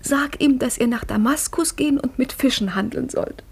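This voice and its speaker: A high, bright female voice as a clever young wife in a 1950s radio play. Her manner moves between teasing and earnest intimacy. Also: moderate pace, husky. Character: Fatime, a clever, devout, businesslike young wife. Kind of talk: 1950s radio drama acting.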